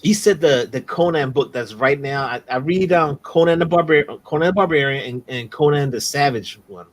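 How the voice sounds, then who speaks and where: A laid-back male host gives a casual shout-out in a slightly high voice. He leans on slang and fillers and often breaks off to start over. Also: normal speed. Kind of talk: casual chat, channel shout-out.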